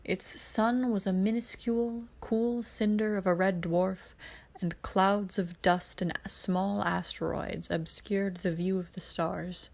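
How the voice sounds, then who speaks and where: A woman reading a science fiction story aloud as scripted narration, with a creak in her voice. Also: medium pitch, steady tone, slow, darkish tone.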